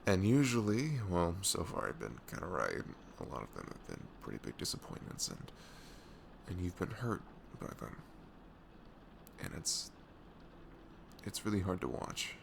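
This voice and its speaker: Casting: male actor for goofy, lovestruck best friend in romantic ASMR audio; velvety, very deep voice, widely varied intonation, earnest delivery. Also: huge pitch swings, vocal fry, background noise, exaggerated voice. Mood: hesitant, pained. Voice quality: soft, velvety. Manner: hesitant, halting, earnest.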